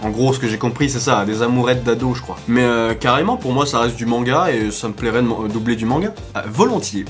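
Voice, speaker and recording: Brief: easygoing man with a medium pitch, joking and hesitant, studio mic, faint music behind. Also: fast, hardly any pauses. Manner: relaxed and joking, with frequent hesitations. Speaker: YouTube voice actor answering fan questions. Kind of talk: casual chat. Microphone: studio condenser mic.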